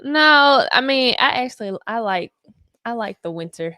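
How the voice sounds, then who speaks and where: Lively young woman, laughing co-host. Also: on the high side, normal speed, dry recording. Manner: laid-back, laughs often, teasing replies. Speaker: podcast co-host. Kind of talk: casual chat with spouse.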